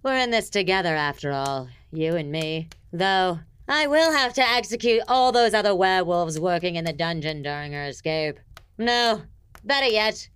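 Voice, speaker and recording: An actress playing an imperious, easily exasperated villainous empress in a comedic fantasy audio drama, haughty, theatrical and mercurial. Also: female voice, fairly low pitch, expressive, moderate pace, a bit bright, clean tone. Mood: coolly ruthless.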